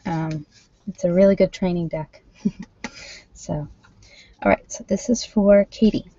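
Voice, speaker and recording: A woman chatting casually as a livestream host reading cards, thinking aloud in an informal, halting way, on dull lo-fi audio. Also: fairly low pitch, mellow, no room sound. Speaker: livestream host doing tarot card readings. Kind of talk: casual live chat with viewers, card reading. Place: at home, indoors.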